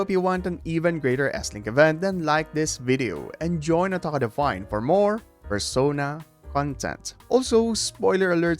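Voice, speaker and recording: A man delivering scripted video essay commentary as host, with emphatic phrasing and jokey asides. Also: a little high.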